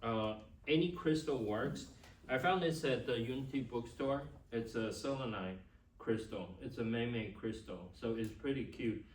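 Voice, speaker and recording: A man with a Taiwanese accent speaks to a class in a loose, informal way, at a middling pitch. He is picked up from a distance in a reverberant room, with a little noise around him. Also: normal speed. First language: Chinese. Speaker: class instructor. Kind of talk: informal teaching and personal introduction. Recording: echoey room, distant mic, faint noise.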